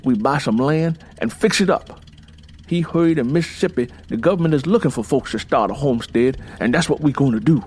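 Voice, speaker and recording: An actor playing a stubborn, plainspoken young Southern husband in an audio drama, folksy and insistent, with a Southern US accent. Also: male voice, mid-range voice, a little fast, darkish tone, breathy edge, close to an everyday voice. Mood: determined, dismissive. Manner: folksy, insistent, long-winded.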